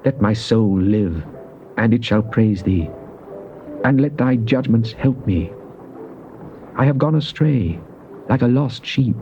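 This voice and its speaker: Devout male psalmist, mid-pitched, measured dramatic Bible reading, dull old tape. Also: animated, mellow, slight background noise. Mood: earnest, pleading. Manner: solemn, measured, theatrical. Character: devout psalmist pleading and praising God. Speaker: scripture reader.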